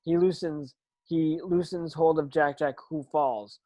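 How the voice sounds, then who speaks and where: Higher-voiced man, halting script narrator. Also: steady tone, mellow, no echo. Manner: halting, stumbling over words. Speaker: stage directions reader. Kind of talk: scripted narration at amateur table read.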